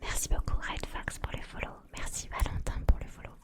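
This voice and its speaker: A woman hosting a casual ASMR live chat, speaking softly and intimately to viewers in a breathy whisper. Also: a little fast. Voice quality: breathy, mostly unvoiced whisper. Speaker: ASMR streamer, host.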